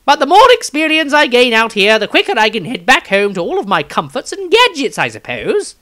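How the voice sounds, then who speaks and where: A man's voice: very high-pitched, wildly swooping pitch, brisk, bright, forceful tone, voice pushed up, exaggerated voice. A man voicing a fussy, homesick, reluctant tinkerer, reading a diary entry wistfully. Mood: weary, wistful.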